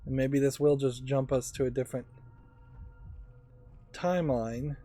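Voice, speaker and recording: Let's play host: relaxed, warm timbre, musing casual delivery, game music in the background. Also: mid-range voice, unhurried. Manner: musing, thinking aloud.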